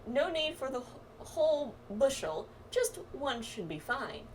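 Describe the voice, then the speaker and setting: Woman reading as a breezy, animated astrologer guide. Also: high voice, a little slow, slight background noise. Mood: brisk, instructing. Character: breezy, confident astrologer guide from a fantasy game. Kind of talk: reading video game quest dialogue aloud. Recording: distant mic, music behind.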